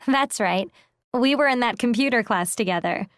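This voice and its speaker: A woman's voice: fairly high pitch, moderate pace, no echo, clean studio sound, natural voice. A woman playing a polite, friendly woman in a scripted textbook dialogue.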